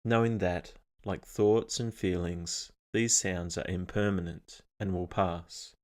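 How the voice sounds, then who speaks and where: A man leading a scripted guided meditation in a calm, measured, instructional manner. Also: sits low.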